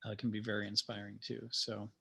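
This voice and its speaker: A man speaking informally as a guest playwright about his creative process, in a halting, thoughtful manner. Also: fairly low pitch, completely flat delivery, creaky, sharp tone, a little hiss or hum. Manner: halting, thoughtful, chuckling asides.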